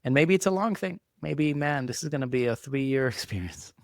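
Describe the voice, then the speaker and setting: A man's voice: fairly high pitch, animated, normal speed, dry recording, studio quality. A man, giving reflective, conversational answers as an interview guest. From Israel. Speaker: podcast guest, entrepreneur.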